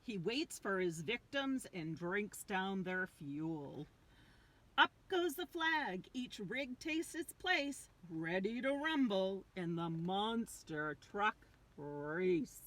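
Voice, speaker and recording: A woman's voice: mid-range voice, big pitch swings, slow, fairly bright tone. An older woman reading a picture book aloud to young children, drawing out key words with dramatic emphasis.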